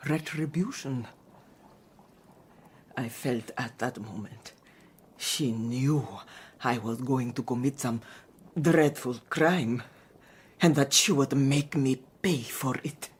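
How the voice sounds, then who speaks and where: A doomed victorian countess, low husky voice, slow and halting, very dramatic radio play. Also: female voice, full character voice. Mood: dread. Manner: halting, theatrical delivery in broken phrases. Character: haunted, foreboding Victorian countess, dramatic and intense. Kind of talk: period radio drama acting.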